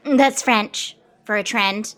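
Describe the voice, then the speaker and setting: An actress playing a vain, scheming young stage diva in an audio comedy, theatrical, gushing and self-important. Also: female voice, medium pitch, slow pace, a bit bright. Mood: falsely breezy. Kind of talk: audio musical comedy acting.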